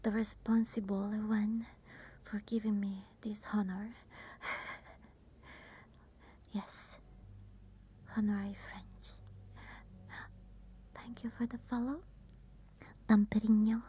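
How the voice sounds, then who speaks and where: A woman with an Italian accent, softly whispering while chatting with viewers on an ASMR live stream. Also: medium pitch, little pitch movement, slow, pauses often, breathy edge. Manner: soft whispering.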